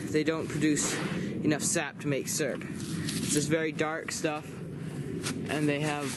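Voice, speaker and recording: A man's voice: a little high, little pitch movement, measured pace. A teenage boy casually explaining step by step how to tap birch trees, recorded on a camera outdoors in snowy woods and indoors. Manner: plain step-by-step explaining with restarts. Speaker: homestead vlogger. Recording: loud outdoor background noise.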